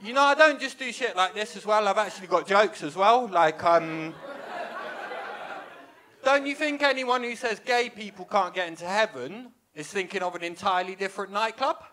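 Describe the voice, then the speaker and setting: A young man from England performing a stand-up set on a small club stage into a handheld mic, with a wry, self-deprecating deadpan delivery. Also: high voice, normal speed, crowd noise. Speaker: stand-up comedian. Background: audience laughter.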